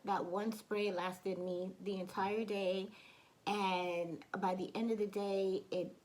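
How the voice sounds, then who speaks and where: A woman talks through her fragrance picks in a loose, chatty vlog voice, full of ums and restarts. Her voice is a bit hoarse from allergies, and she coughs and clears her throat along the way. Her pitch sits in the middle for a woman. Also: rough recording. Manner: chatty, many fillers and restarts. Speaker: beauty vlogger. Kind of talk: casual product review.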